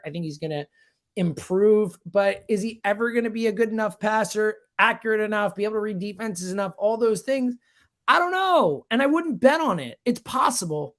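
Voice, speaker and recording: Guy breaking down sports in conversation, really emphatic, repeats stuff to make the point. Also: sits high. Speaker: sports radio host as guest analyst.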